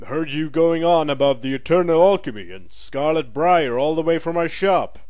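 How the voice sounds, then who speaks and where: A man playing a brisk, pragmatic military recruiter in an audio drama, matter-of-fact and wry. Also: fairly high pitch, expressive, darkish tone, no echo, natural voice.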